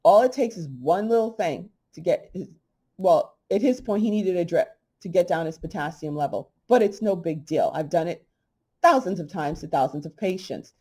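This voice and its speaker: A middle-aged woman talking straight to her audience in a blunt, emphatic, conversational way. Her voice is a little hoarse, mellow and dark-toned, and sits on the low side for a woman. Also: animated, moderate pace. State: slightly hoarse. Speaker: holistic health channel host. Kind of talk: casual monologue to camera.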